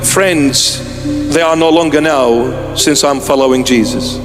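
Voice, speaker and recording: A man's voice: medium pitch, unhurried. Earnest preaching man in his fifties. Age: 50s. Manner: earnest, emphatic, repeating phrases. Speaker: bishop. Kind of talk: sermon. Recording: long hall reverb with music behind.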